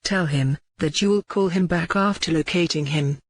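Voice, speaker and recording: A tutorial narrator reading scripted instructions in a measured, formal way. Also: medium pitch, no echo.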